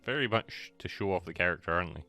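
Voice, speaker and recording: A man's voice: low-pitched, lively intonation, fast, sharp tone, faint music behind. A man giving casual live commentary while streaming a video game, with wry, dry asides.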